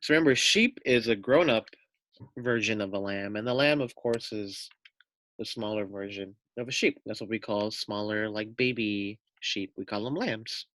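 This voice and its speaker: A man's voice: on the low side, moderate pace, a bit bright, no echo. A man reading a children's story aloud expressively, with simple explanatory asides for young listeners.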